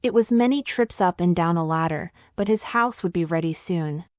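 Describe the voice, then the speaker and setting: A woman's voice: on the low side, moderate pace, a little mellow, no room sound, dull audio. A woman reading a scripted children's fairy tale as the storyteller.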